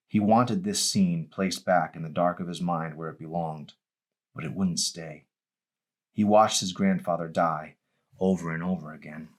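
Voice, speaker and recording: A man reading his own novel aloud as a dramatic audiobook narration. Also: sits low.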